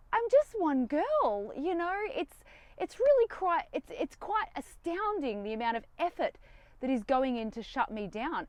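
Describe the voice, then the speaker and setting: A woman's voice: high voice, no echo. Defiant young woman, dry close sound. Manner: defiant, animated, sarcastic. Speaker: vlogger. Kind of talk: casual direct-to-camera talk. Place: inside a van.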